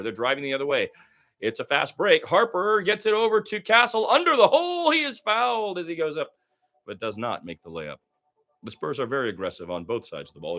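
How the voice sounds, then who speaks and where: Bright, forceful man calling basketball play-by-play. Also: mid-range voice. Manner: excitable in fast play, conversational between plays. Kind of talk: live radio play-by-play commentary.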